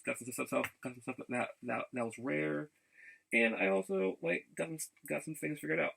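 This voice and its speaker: A man giving a casual, rambling vlog update in a gravelly voice, haltingly and often repeating his phrases. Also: fairly high pitch, a bit bright. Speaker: video blogger.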